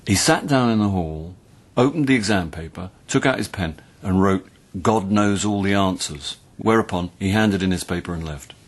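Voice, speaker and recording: An actor playing a wry, patient middle-aged university tutor in a radio play, with an English accent and a measured, donnish, sometimes hesitant delivery. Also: male voice, low-pitched. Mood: patient, instructive.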